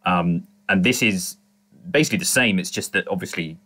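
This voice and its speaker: Low, bright-voiced man rambling on a podcast. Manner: rambling, halting, self-correcting. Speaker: podcast panelist discussing audio drama. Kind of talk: casual discussion.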